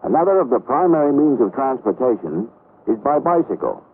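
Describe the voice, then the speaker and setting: An American man reading a scripted 1960s military training film narration in a formal, authoritative, measured way. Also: medium pitch, sing-song. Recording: muffled, noisy vintage film soundtrack.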